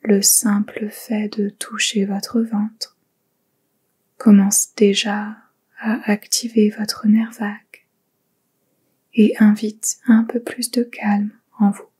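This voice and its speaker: Very breathy, slow-paced woman guiding meditation. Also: medium pitch, little pitch movement. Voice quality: breathy, whispery. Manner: slow, gentle, soothing instructions with pauses. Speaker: yoga nidra meditation guide. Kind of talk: guided relaxation meditation, soft and whispery.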